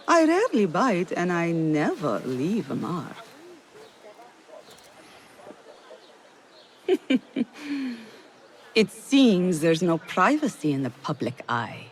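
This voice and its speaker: Witty defiant court poet in a video game, very expressive pitch, slow theatrical delivery. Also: female voice. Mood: playful, teasing. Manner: poetic, theatrical, deliberate delivery. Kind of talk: dramatic video game acting.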